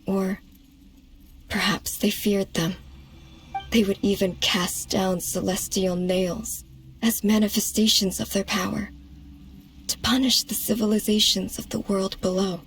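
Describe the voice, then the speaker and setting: A woman playing a dignified ancient moon goddess in a game cutscene, speaking in a measured, formal way. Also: mid-range voice, little pitch movement, close to an everyday voice, low-quality recording. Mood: grave. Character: solemn, composed ancient moon goddess, elder sister. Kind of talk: dramatic game cutscene acting. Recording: background music under the voice.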